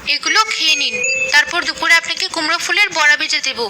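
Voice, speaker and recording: A woman's voice: high voice, brisk, very noisy, natural voice. A woman voicing a devoted young village wife in a cartoon, caring and firm, with an earnest way of talking. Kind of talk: cartoon voice acting. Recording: loud music behind the voice. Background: loud music.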